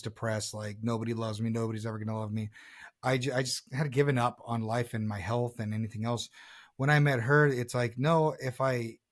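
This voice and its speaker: A man in his thirties talking earnestly in a reflective personal conversation, often repeating and rephrasing himself as a podcast co-host. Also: mid-range voice. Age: 30s.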